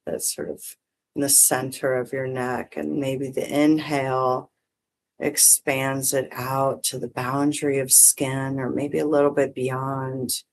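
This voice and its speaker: A meditation teacher guiding breath and visualization in short, gently permissive phrases with long pauses. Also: medium pitch, flat delivery, slight background noise. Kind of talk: guided meditation, unhurried instruction.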